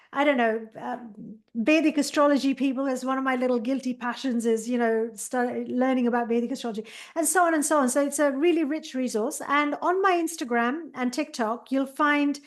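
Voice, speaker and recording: A woman who is an author and meditation coach, talking as a guest on a podcast in an enthusiastic, meandering way. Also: high voice. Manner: enthusiastic, many fillers and restarts. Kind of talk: interview chat.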